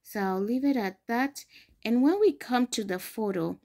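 A woman's voice: on the high side, animated, moderate pace, mellow. A young adult woman from Ghana, hosting a screen-recorded phone tutorial and explaining each step patiently. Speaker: tutorial host.

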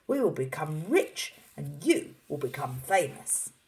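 Storybook father, played by a woman: bossy, fired-up schemer, loud and urgent, pitched up with big swings. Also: big pitch swings, normal speed. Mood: feverishly excited. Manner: shouting, urgent, exclamatory. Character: excitable, bossy, overeager father hatching grand schemes.

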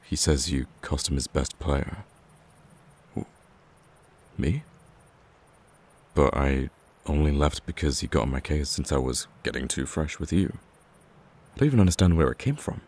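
A man playing a betrayed, protective young male friend in an emotional audio roleplay, speaking haltingly with sighs and stammers. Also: very deep voice, lively intonation, darkish tone, exaggerated voice. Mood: subdued, probing. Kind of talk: emotional ASMR roleplay acting.

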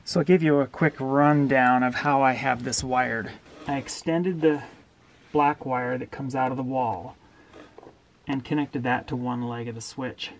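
A middle-aged man walking through a camper wiring upgrade step by step, in a steady, plain-spoken way, recorded in a small indoor space. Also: medium pitch, unhurried, slight background noise. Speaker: DIY camper owner explaining a wiring upgrade. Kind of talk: hands-on how-to walkthrough.

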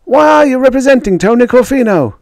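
Storybook role: eager, insistent press reporter, done by a man, pitched well up, questioning sharply. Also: animated, fairly quick, dry recording. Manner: insistent, probing. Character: pressing, inquisitive newspaper reporters.

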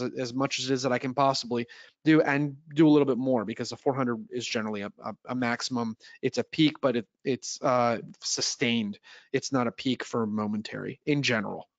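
A man's voice: medium pitch, dry recording, highs cut off. A man presenting in an explanatory webinar style, teaching step by step and checking in as he goes. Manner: didactic, checks understanding with "right?". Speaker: audio trainer and presenter. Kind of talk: explanatory product webinar talk.

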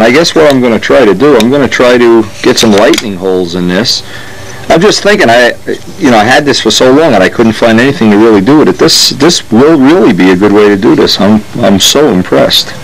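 A man's voice: medium pitch, a little hiss or hum, low-quality recording. An older man, a model airplane builder, talks casually while working at his hobby workshop bench, often breaking off mid-sentence and correcting himself.

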